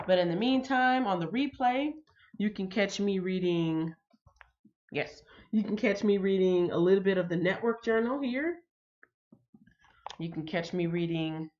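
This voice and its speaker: A woman's voice: fairly low pitch, darkish tone, highs cut off. A woman hosting a casual livestream, chatting with viewers and reading their comments, her voice a little congested. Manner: chatty, reads out viewer comments, frequent ums. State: slightly congested. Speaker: livestream host.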